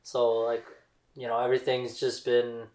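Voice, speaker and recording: A man's voice: mid-range voice, completely flat delivery, normal speed, darkish tone, reverberant, poor audio. A young Canadian man, a guest DJ, chatting casually on a podcast and filling his talk with "like" and "you know". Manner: laid-back, lots of "like" and "you know".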